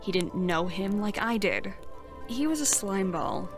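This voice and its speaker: A woman's voice: medium pitch, animated, measured pace. A woman playing a brash, resentful eighteen-year-old knight in a fantasy audio drama, talking bluntly and sarcastically. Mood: bitter, resentful. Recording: music behind the voice.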